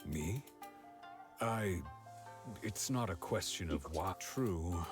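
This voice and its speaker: Slow, low, forgetful villager. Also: male voice, animated, music in the background. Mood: bewildered. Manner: hesitant, halting. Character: weary, forgetful middle-aged man, bewildered and brooding. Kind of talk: animated fantasy game voice acting.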